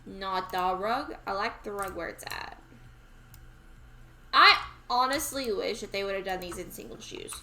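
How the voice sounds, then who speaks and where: Southern lady streaming a game, bright voice, unhurried, just rambling to herself. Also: mid-range voice, a little hiss or hum. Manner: rambling self-talk, easily amused. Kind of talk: casual gameplay chatter.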